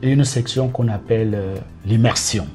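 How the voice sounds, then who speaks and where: Measured man. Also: lowish voice, fairly even intonation, darkish tone, faint music behind. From Cameroon. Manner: measured, careful, frequent hesitations. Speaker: teacher guest. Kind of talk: interview answers.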